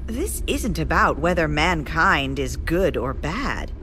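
An actress playing a defiant, vengeful, ambitious woman in a sci-fi audio drama, deliberate and insistent. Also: deep voice, sing-song, over music, heavily acted. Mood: defiant, bitter.

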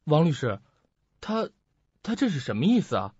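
A greedy, irritable nephew , played by a male actor, speaks in an agitated radio-drama manner. His voice is husky and swings widely in pitch, on a dull, dry recording. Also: wildly swooping pitch, normal speed, a little mellow, dry recording, heavily acted. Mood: agitated, disbelieving. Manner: agitated, exclamatory. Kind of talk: Mandarin radio drama acting.

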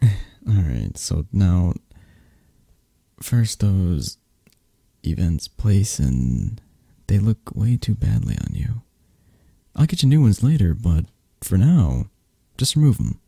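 A man acts out a flirtatious, possessive mafia boss in an ASMR roleplay. His voice is very low and dark, with a gravelly fry. He murmurs to his lover in a sleepy, teasing, soothing way. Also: very animated, takes it slowly, long pauses, no echo, clean studio sound, full character voice. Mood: commanding, teasing. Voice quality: breathy, husky. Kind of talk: intimate ASMR romance roleplay.

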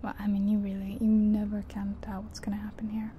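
A woman whispering softly and breathily in an ASMR roleplay as a friendly taxi driver, chatting gently and without hurry. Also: medium pitch, fairly quick. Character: friendly small-town taxi driver giving a guided ride. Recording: steady car and rain noise. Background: car sounds and rain.

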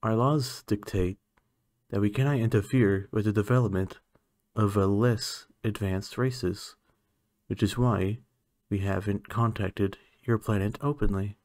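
A man playing an aloof, condescending alien scientist in an ASMR roleplay, speaking in a clinical, measured, haughty manner. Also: lowish voice, steady tone, a little mellow, no room sound, clean studio sound, close to an everyday voice. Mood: matter-of-fact, haughty. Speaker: voice actor.

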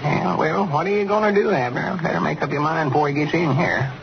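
Muffled old radio, folksy Southern grandpa. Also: male voice, a little high, brisk, gravelly. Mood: conspiratorial. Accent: rural Southern US. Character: folksy rural grandfather, sly and helpful. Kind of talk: 1930s radio comedy acting. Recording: muffled, noisy 1930s radio recording.

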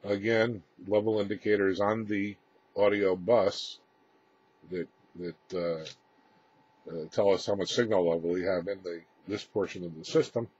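Low voiced husky guy doing a technical demo, halting and matter of fact, kinda dull home video sound. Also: steady tone, a little mellow. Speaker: presenter demonstrating his radio equipment. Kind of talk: unscripted technical walkthrough. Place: indoor room with equipment rack. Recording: roomy, slightly muffled home-video sound.

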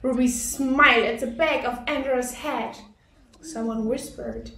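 High-voiced woman reading slowly to children. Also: fairly even intonation, pushed and bright, roomy sound. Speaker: storybook reader. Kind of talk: scripted children's read-aloud narration.

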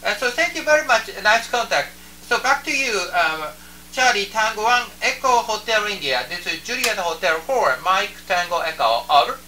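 A man with a high-pitched, very sharp voice and a Japanese accent works a ham radio contact. He speaks haltingly, repeating his phrases. He sounds far from the microphone, with radio noise humming around him. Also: sing-song. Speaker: amateur radio operator. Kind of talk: ham radio contact chat with phonetic call signs. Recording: noisy shortwave radio setup, distant microphone, hum.

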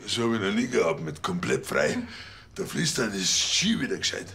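A man's voice: lowish voice, big pitch swings, moderate pace, creaky, a bit bright, slightly rough, exaggerated voice. An actor playing a naive, easily smitten policeman with a Bavarian accent in a TV crime comedy. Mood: smitten, dreamy.

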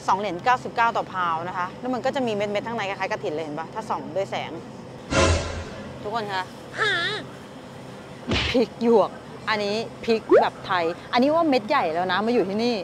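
Chatty woman vlogging over background music. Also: a little high. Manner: chatty, addresses viewers directly, excitable. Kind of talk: casual grocery vlog and food tasting. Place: supermarket aisles and parked car. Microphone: handheld phone camera at arm's length. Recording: phone video with music underneath.

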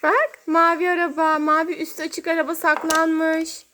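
A woman's voice: very high voice, flat delivery, normal speed, bright, forceful tone, slight background noise. A woman hosting toy play for young children as a mother, talking in a sing-song, exclaiming way and drawing out her words.

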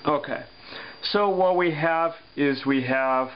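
A man teaching physics, working a problem step by step on paper and thinking aloud with pauses and fillers. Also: on the high side. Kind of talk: worked-example lesson over paper. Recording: dull lo-fi sound with faint hum.

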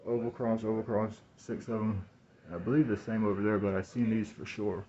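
Low-voiced man, dull lo-fi phone recording. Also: steady tone, mellow. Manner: halting, repeats words and phrases. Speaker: vlogger talking to camera. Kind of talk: rambling personal monologue. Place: small room indoors. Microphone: phone at arm's length, speaking through cloth mask.

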